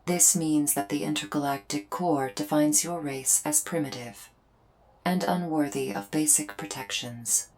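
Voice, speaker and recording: A woman voicing a solemn female alien invader in a scripted ASMR roleplay, speaking in measured, formal, deliberate phrases with pauses. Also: deep voice, a little mellow, a little hiss or hum. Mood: solemn, explanatory. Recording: music behind the voice. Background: music.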